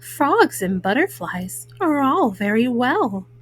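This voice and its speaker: A caring mother bear from a children's storybook, voiced by a woman reader. She speaks slowly and gently in a high, motherly voice. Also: big pitch swings, slightly rough, heavily acted. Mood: wistful, concerned. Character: caring, wistful mama bear, grown female.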